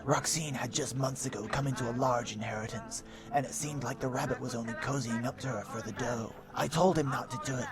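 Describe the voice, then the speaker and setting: Guy doing a dopey hardboiled cartoon detective, deadpan noir monologue, super self-important, music playing loud behind him. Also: mid-range voice, brisk, few pauses, natural voice. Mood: dramatic. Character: self-important, dim hardboiled pony-world private eye. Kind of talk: film noir detective parody narration. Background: background music.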